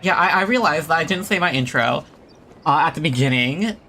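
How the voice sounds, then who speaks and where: A game streamer chatting casually to viewers, rambling and low-key with a self-deprecating touch. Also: expressive, brisk, bright, forceful tone, slight background noise. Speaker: livestreamer playing a video game. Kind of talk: casual livestream chat and game commentary.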